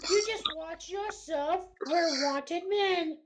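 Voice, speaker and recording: A woman's voice: extremely high pitch, slow, background noise. A woman co-host reading gamer forum posts aloud for comedy, casual and prone to giggling.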